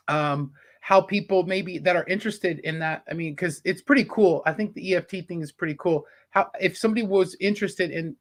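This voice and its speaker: A man's voice: fairly high pitch, fairly bright tone. A man with a casual, chatty style, acting as an interviewer. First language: English.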